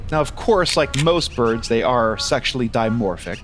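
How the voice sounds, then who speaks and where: A man's voice: medium pitch, lively intonation, moderate pace, a bit bright, faint music behind, natural voice. A man playing a patient, flustered museum tour guide in naturalistic audio comedy, hesitant and politely explaining. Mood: eager, explaining.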